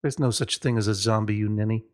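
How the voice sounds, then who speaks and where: A man's voice: fairly low pitch, steady tone, quick pace, lightly acted. Man doing a sneering, cocky boy bully. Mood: scornful. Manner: taunting, sneering.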